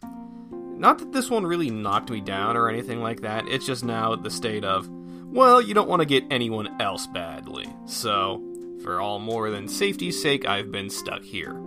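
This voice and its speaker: A man's voice: fairly low pitch, sing-song, measured pace, over music. A man talking casually on his own as a podcast host, thinking aloud in a folksy, self-effacing way. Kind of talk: casual solo rambling chat.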